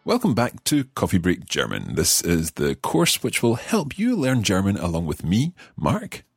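A man hosting a language podcast lesson, speaking clearly and patiently and repeating phrases slowly. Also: low-pitched, wildly swooping pitch, dry recording, faint music behind. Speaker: language course host. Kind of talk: scripted podcast lesson chat.

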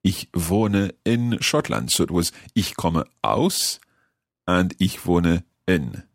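A man hosting a language podcast lesson, speaking clearly and patiently and repeating phrases slowly. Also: low-pitched, very animated, no room sound, studio quality. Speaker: language course host. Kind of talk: scripted podcast lesson chat.

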